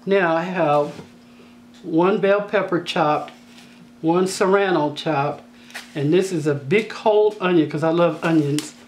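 Chatty woman with a slightly raspy voice. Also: on the low side, measured pace, a little hiss or hum. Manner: chatty, down-to-earth, joking asides. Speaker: cooking channel host. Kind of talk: casual cooking tutorial voice-over. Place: home kitchen.

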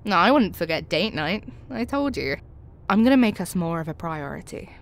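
A woman's voice: lowish voice, sing-song, normal speed. A woman acting in an audio roleplay as a tough, confident spy with a tender private side, wry and self-assured. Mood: tender, teasing.

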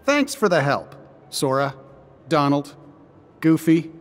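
A friendly cowboy toy sheriff in a video game. The voice is fairly high and swings wildly, earnest and folksy, taking its time in a reverberant space with faint music. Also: male voice, very animated, takes it slowly. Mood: upbeat. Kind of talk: animated video game acting.

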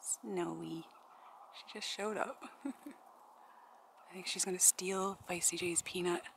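A woman speaks slowly and affectionately to wild birds. Her voice is creaky, recorded outdoors with faint noise behind it. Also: lowish voice, fairly even intonation, a bit bright. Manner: affectionate pet-talk. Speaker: birdwatching vlogger. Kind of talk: casual talk to wild birds while filming. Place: outdoors in snowy woods. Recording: outdoor camera audio with background noise.

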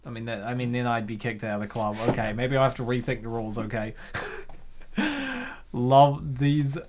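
A man's voice: mid-range voice, expressive, moderate pace, noisy background. A man hosting with casual, chatty reaction commentary, rambling and jokey. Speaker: host of comic dub channel.

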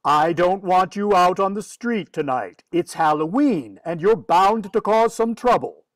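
A woman acts as a long-suffering daddy in old-time radio comedy. The delivery is slow, with big swings in pitch and a close, dry sound. The tone is firm and wry. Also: expressive, no echo. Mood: firm, exasperated. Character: exasperated, firm father of a mischievous girl. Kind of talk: 1940s radio comedy acting.

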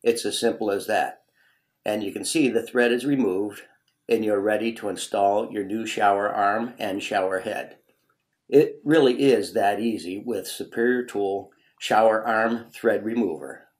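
Guy with a lowish voice doing a scripted tool demo voiceover. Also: unhurried. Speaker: product presenter. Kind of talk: scripted product demonstration narration.

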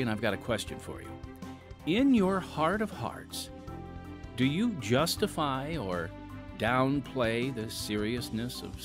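Animated, theatrical male radio host over music. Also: mid-range voice. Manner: theatrical, folksy, dramatic pauses. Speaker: podcast host and announcer. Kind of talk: scripted narration, radio host.

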